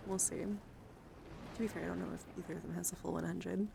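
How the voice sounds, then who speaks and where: A woman hosting an ASMR livestream, speaking softly to viewers and often slipping into whispers. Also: fairly low pitch, steady tone, brisk. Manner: soft-spoken, often dropping into whispers. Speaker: livestream host. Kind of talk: ASMR, soft chat to livestream viewers with card reading. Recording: clearly audible ambient background noise.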